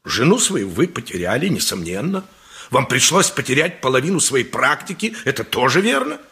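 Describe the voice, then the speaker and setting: A fee-hungry, high-flown lawyer from a radio drama. He declaims in a tense, very husky voice with animated intonation, selling lofty ideals. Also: moderate pace, heavily acted. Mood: grandly persuasive. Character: grandiose, persuasive, fee-hungry lawyer to the well-off. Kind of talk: 1980s radio play acting.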